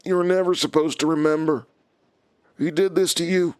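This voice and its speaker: An actor playing a calm, menacing inhuman villain in an audio drama, with a deliberate, taunting manner. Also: male voice, fairly high pitch, smooth, dry recording, natural voice. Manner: slow, deliberate, controlled, taunting.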